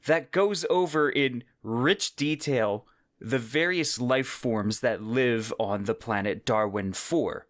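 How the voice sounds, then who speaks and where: A man's voice: medium pitch, a bit bright, dry recording. Man hosting in a dry, close recording. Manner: enthusiastic, informal. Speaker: podcast host. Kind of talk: casual solo podcast commentary.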